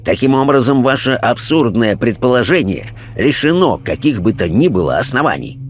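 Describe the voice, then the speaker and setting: A man voicing a pompous, pious gentleman ghost in an audiobook, speaking formally with offended haughtiness. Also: medium pitch, big pitch swings, moderate pace, voice pushed up. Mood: offended, preachy.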